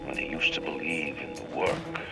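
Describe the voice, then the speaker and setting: An actor playing a stern, disillusioned male idealist in a video game, with a measured, scornful, oratorical manner. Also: extremely low pitch, fairly even intonation, gravelly, over loud music. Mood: scornful, disappointed. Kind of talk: video game villain acting.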